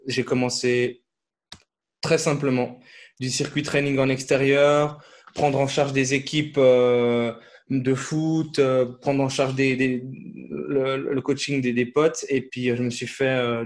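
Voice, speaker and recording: A man from Switzerland, a podcast guest and fitness coach, speaking in a relaxed, halting way with many fillers and restarts. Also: mid-range voice. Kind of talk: casual podcast interview.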